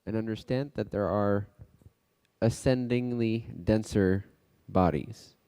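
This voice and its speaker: A man leading a guided meditation as a teacher, speaking in short, unhurried phrases with pauses between them. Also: lowish voice, dark tone, clean tone. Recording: long reverberant echo.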